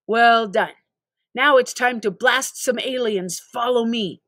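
A woman's voice: on the high side, a bit bright, dry recording, studio quality. A woman voicing a stern, single-minded male drill sergeant from a storybook, giving emphatic, repetitive commands. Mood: commanding. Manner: clipped, emphatic, deliberate commands.

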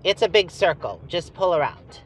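A man's voice: high-pitched, very animated, moderate pace, poor audio. A man hosting a casual travel vlog, chatting and thinking aloud as he drives, recorded inside a vehicle with road noise. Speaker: host.

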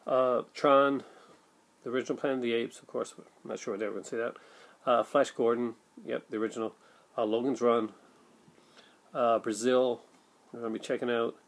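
A middle-aged man vlogging casually to camera at home, rambling with many fillers and restarts. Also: mid-range voice. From Canada. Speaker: vlogger, film collector. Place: home room with shelves of films, indoors. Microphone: built-in webcam mic at desk.

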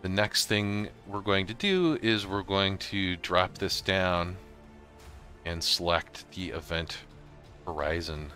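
A middle-aged man explaining software step by step in a casual, informal tutorial style. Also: low voice, takes it slowly, faint music behind. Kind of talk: casual screen-recorded walkthrough.

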